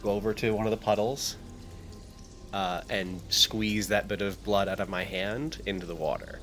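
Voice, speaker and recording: A man's voice: fairly low pitch, fairly even intonation, moderate pace, fairly bright tone, soft background music. A man chatting casually as a player in a tabletop game, improvising his character's actions. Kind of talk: casual improvised role-play chat.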